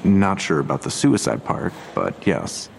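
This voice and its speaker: An actor playing a guarded police detective in an audio drama, dry and matter-of-fact. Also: male voice, low voice, big pitch swings, unhurried, vocal fry, a little hiss or hum, heavily acted.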